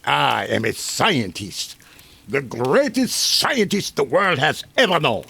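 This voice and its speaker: A man's voice: mid-range voice, sing-song, slow, gravelly, bright, forceful tone, breathy edge, full character voice. A man playing a sinister, boastful doctor in a 1950s-style radio drama, speaking formally, theatrically and secretively. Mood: grandiose, intense. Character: sinister grandiose doctor claiming to raise the dead.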